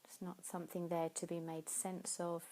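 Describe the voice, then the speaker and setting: A woman leading a guided sleep meditation in a soothing, unhurried way, pausing between phrases. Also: lowish voice, level tone, mellow. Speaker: yoga nidra meditation teacher.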